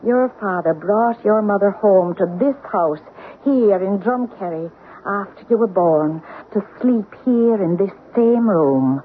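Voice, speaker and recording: An actress playing an older Irish woman who gravely tells family secrets in deliberate, lilting radio-drama storytelling. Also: mid-range voice, expressive, natural voice. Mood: sorrowful. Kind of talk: old-time radio drama acting. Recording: tinny, noisy old radio broadcast.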